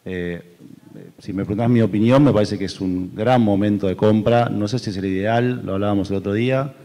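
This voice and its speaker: Low, mellow Argentine man. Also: fairly even intonation. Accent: Argentine Spanish. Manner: measured, hedging. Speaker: panelist. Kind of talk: conference panel talk. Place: large conference hall stage.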